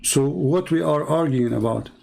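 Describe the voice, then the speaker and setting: A man's voice: fairly high pitch, normal speed, vocal fry, a little mellow. A man with an Arabic accent, speaking English as a second language, giving argumentative commentary full of sarcastic rhetorical questions. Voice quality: broken, non-native grammar. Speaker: religious debate commentator. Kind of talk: polemical commentary.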